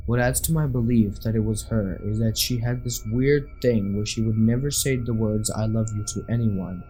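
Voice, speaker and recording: Low, mellow man narrating eerie true stories. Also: normal speed, soft background music, low-quality recording.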